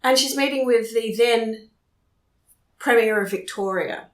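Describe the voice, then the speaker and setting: An Australian woman working as a photojournalist, telling stories in a chatty, lively way during a casual interview at her home. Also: a little high, measured pace, echoey room. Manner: chatty, animated storyteller. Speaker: photojournalist guest. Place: room in her house.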